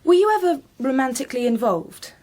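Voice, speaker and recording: A woman's voice: high-pitched, animated, a little fast, warm tone. An actress playing a polite, probing policewoman in a radio drama, formal and questioning.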